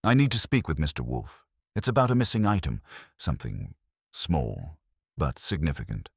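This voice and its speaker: Man playing stiff, earnest chemist, low voice. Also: huge pitch swings, moderate pace, vocal fry, husky, no room sound, clean studio sound, heavily acted. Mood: guarded, serious. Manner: formal, stiff. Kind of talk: detective audio drama.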